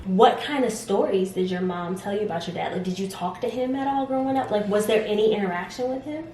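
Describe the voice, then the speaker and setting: A woman's voice: mid-range voice, fast, dark tone, roomy sound. A woman around thirty co-hosting a casual couple's vlog, chatty and full of fillers, asking her husband questions. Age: 30s. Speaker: vlog co-host.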